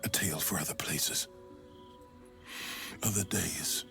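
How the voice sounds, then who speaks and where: A man's voice: sits low, steady tone, slow pace, creaky, music in the background, full character voice. An actor playing a haunted, courteous male adventurer in a fantasy video game, telling his story in solemn, literary phrases. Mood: grave, guarded.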